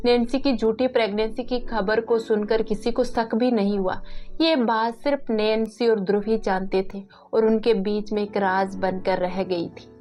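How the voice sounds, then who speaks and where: A woman's voice: mid-range voice, a little mellow. A woman storyteller delivering scripted narration of a family tale.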